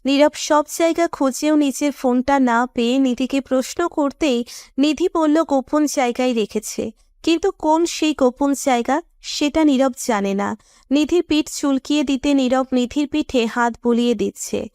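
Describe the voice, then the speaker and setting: A woman narrates a romantic story from a script. Her voice is very high, and the recording is dry and close, with very little room sound. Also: normal speed, darkish tone, no room sound.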